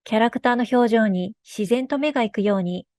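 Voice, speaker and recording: A woman's voice: fairly high pitch, brisk, a little mellow, dry recording. A woman giving a scripted technical presentation about her studio's work. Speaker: game studio developer presenting a workflow.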